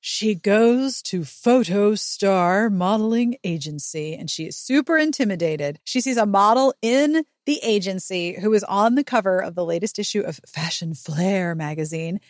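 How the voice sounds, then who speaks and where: A woman's voice: medium pitch, expressive, moderate pace, hardly any pauses, no echo, studio quality. A woman hosting a podcast, recapping a book conversationally with wry, mock-incredulous asides. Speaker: podcast host.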